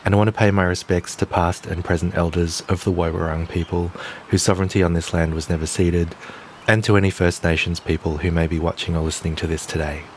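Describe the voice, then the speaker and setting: A man with a very low voice reads literary fiction in a measured, quiet way. Clear background noise sits behind his voice. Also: steady tone. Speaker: writer reading own short story. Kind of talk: literary short story reading.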